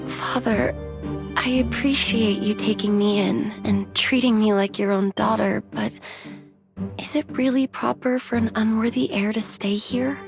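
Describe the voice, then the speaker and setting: A woman playing a gentle, dutiful noble elder sister in an anime-style game, polite and composed. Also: medium pitch, fairly even intonation, a little slow, vocal fry, music in the background. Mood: polite, humble.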